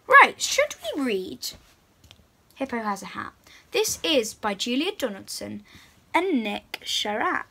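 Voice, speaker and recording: A young girl hosts a read-aloud for small children. Her voice is high and clearly bright. She addresses her listeners in an excited, encouraging way, as if guiding them through a picture book at home. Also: slow. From England. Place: small room at home, indoors.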